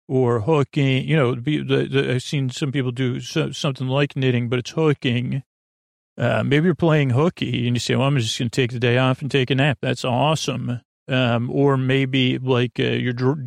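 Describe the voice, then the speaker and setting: A man hosting a podcast in a rambling bedtime monologue, halting and digressive, often trailing off as he goes. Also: medium pitch, creaky, no room sound, studio quality. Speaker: podcast host. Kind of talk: rambling bedtime monologue meant to lull listeners to sleep.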